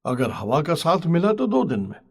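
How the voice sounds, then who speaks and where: A man's voice: a little high, huge pitch swings, moderate pace, darkish tone, husky, exaggerated voice. A man playing a submissive ship's captain in a dramatised audio story, with a theatrical delivery. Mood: informative. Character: male ship's captain, defeated and submissive seafarer.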